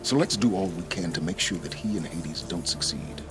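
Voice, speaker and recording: A man playing an aloof, calculating ally in a video game, speaking in a measured, dry and formal way. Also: sits low, animated, over loud music. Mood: cool, dismissive. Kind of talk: video game cutscene acting.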